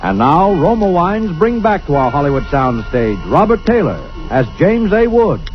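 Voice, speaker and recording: A man with a high, sweeping announcer's voice, theatrical and emphatic. The sound is dull and lo-fi, with loud music behind him. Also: very animated, moderate pace. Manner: declamatory, dramatic. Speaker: radio announcer. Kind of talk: 1940s radio announcing. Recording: dull old radio broadcast, loud music.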